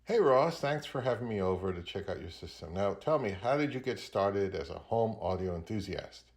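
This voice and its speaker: A man's voice: fairly low pitch, lively intonation, normal speed. A man narrating a product review as the host of his own audio channel.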